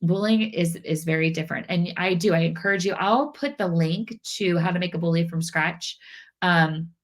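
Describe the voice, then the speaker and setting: A woman's voice: fairly low pitch, normal speed. A middle-aged woman hosting a parenting podcast, a little nasal and congested from a cold, talking earnestly with many restarts and repeated phrases. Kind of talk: casual talk to camera.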